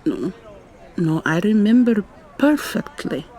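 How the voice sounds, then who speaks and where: A woman playing an articulate, probing young female vampire student in an ASMR roleplay, soft-spoken and questioning, with noise in the recording. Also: mid-range voice, sing-song, slow pace, some chatter behind. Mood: probing, earnest. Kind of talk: ASMR roleplay, intimate conversational acting.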